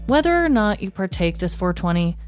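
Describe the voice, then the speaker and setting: An American woman tells a scripted true-crime story as a podcast co-host. Her voice sits at a medium pitch, with faint music running behind it. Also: big pitch swings, a little fast.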